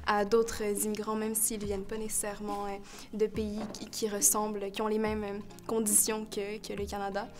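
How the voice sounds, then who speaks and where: A woman's voice: mid-range voice, monotone, moderate pace. A young woman, a student, hesitantly giving an answer in an interview. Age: young adult. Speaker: student panelist.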